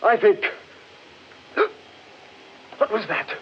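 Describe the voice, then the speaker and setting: An actor playing an excitable man spooked in a haunted forest, with halting dramatic delivery, on a muffled 1940s radio recording. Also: high-pitched, a little hiss or hum. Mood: spooked, frantic.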